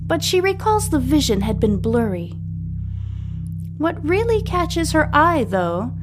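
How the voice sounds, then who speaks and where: A woman reading a scripted serial story aloud as a podcast host, with a measured, deliberate storytelling delivery. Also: on the high side. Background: music.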